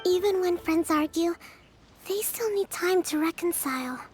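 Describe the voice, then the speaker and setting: A woman voicing a gentle, thoughtful young leader in a video game, speaking politely and earnestly in measured phrases, with music behind her. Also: very high voice. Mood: pensive. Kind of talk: video game dialogue acting.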